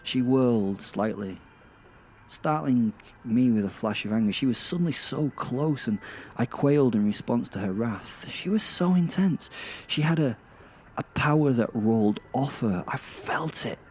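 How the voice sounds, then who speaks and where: A man playing a sardonic, awkward werewolf who narrates in a halting, self-mocking way with theatrical emphasis, in a horror-comedy audio drama. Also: medium pitch, expressive, a little mellow. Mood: awed. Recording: clear background ambient noise.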